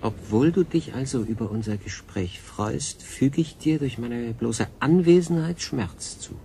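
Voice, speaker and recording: A man's voice: medium pitch, unhurried, warm tone. An actor playing a stranded, thoughtful and conflicted man in a radio drama, speaking earnestly and reflectively. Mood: uneasy, defiant. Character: stranded human castaway, male, thoughtful and conflicted. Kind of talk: German radio drama acting. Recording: clear background noise.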